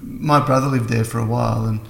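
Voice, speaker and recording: A man's voice: medium pitch, steady tone, brisk, creaky. An Australian man from Melbourne, co-hosting a casual chat, joking and laughing often. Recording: roomy, with faint background hiss.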